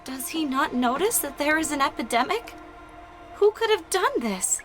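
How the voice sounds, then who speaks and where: A woman voicing an earnest young warrior sister in an audio drama. Her voice sits high as she asks her questions openly, with faint music in the background. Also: big pitch swings, normal speed, fairly bright tone.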